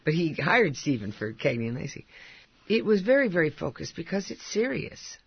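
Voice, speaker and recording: Older lady w/ husky gravelly voice, chatty, laughs a lot, dry dull-ish recording. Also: sits low, very animated, moderate pace, dry recording. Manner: chatty, laughs often, warm storytelling. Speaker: actress guest. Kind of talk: casual interview chat.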